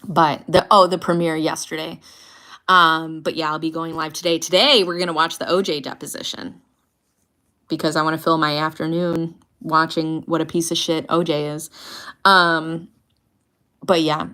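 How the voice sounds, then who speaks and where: A woman in her thirties from the United States, chatting on a livestream in a loose, rambling way with plenty of swearing. Her voice is bright and forward, sitting a little low for a woman. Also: level tone, moderate pace. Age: 30s. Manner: chatty, swearing, laughing often. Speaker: livestream host. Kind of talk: casual livestream chat reading comments.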